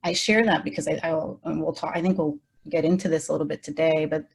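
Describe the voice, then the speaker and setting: A woman's voice: deep voice, fairly even intonation, quick pace, breathy edge. A woman whose first language is Spanish, speaking in a reflective, hesitant way with many fillers during a roundtable discussion over a video call. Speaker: faculty member.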